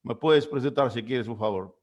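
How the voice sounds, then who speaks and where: A man's voice: medium pitch, normal speed, a little mellow. Hesitant man moderating an online panel. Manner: hesitant, many fillers and restarts.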